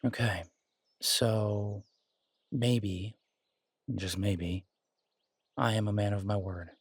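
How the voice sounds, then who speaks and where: A man playing a confident, protective alpha werewolf boyfriend in an intimate roleplay audio drama, husky and soothing, teasing and reassuring in a casual way. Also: lowish voice, fairly even intonation, extremely slow, no echo, full character voice. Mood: earnest, soothing.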